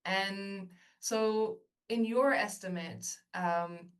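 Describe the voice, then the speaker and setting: A woman interviewing a guest, posing questions quickly with "um" fillers and rephrasing. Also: medium pitch, recorded from a distance.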